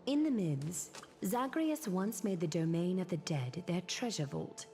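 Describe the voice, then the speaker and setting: An actress playing a regal, knowing noblewoman in a fantasy video game, speaking in a formal, poised and deliberate way. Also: fairly low pitch, expressive, mellow, a little hiss or hum. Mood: assured, instructive. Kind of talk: video game story acting.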